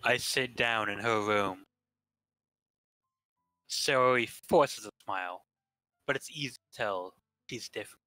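A man's voice: lowish voice, takes it slowly, long pauses, pushed and bright, no echo, soft background music, poor audio. A man reading scripted first-person narration from a visual novel as part of a group staged reading.

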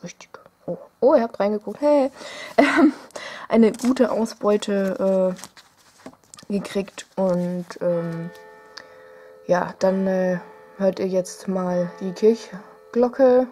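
A young woman chats her way through a sticker unboxing, hesitant and full of fillers, with small giggly asides. Her voice is mellow and her pace unhurried. A faint hiss sits under the home desk recording. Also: medium pitch. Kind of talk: casual unboxing chat. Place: indoors at a desk, home room.

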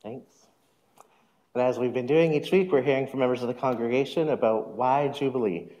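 A man, a minister, giving relaxed announcements from a lectern in a church sanctuary. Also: mid-range voice, moderate pace. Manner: relaxed, informal, with fillers.

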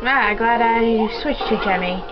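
A woman casually commenting while playing a video game, chatty and quick. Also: on the high side. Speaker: gamer. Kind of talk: let's play commentary. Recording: dull lo-fi game capture with music.

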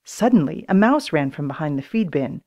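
Woman reading a storybook, very lively intonation. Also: deep voice, normal speed, lo-fi sound. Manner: expressive, acts out dialogue in raised voices. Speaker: audiobook narrator. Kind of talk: children's storybook reading with character voices.

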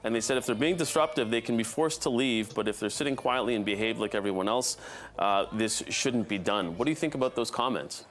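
A man anchoring a news debate in a relaxed way, laughing along with guests. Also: mid-range voice, brisk.

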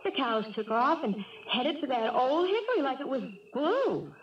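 A woman's voice: sits high, very animated. A woman acting a sweet, hesitant small-town country girl with a Southern US accent in a 1970s radio drama. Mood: folksy storytelling. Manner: folksy, halting. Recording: dull, noisy 1970s radio recording.

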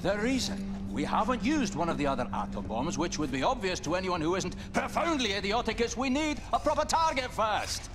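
An actor playing an irascible, sarcastic, foul-mouthed Scottish resistance fighter in a video game. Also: male voice, high voice, big pitch swings, brisk, hardly any pauses, loud music behind the voice. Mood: scornful. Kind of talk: video game cutscene acting.

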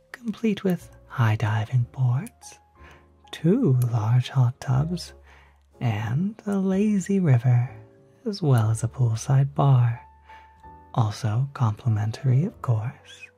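An ASMR performer of unclear sex playing a courteous demon hotel concierge, speaking softly and politely, close to the microphone, often near a whisper. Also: mid-range voice, husky, heavily acted. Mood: courteous, soothing. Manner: polite, unhurried, gently salesmanlike. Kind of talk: ASMR roleplay.

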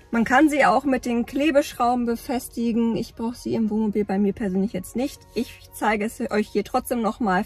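Chatty breathy woman vlogging from her car. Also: a little high, a little mellow. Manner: chatty, rambling with frequent fillers. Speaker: camping vlogger. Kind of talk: casual vlog chat. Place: inside a parked car. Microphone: phone or camera at arm's length.

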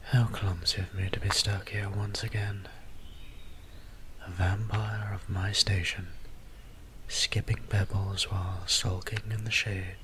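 A man playing a soft-spoken, melancholy vampire priest in an ASMR roleplay, musing in formal, old-fashioned words with a breathy near-whisper. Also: deep voice, background noise. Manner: formal, archaic, musing diction.

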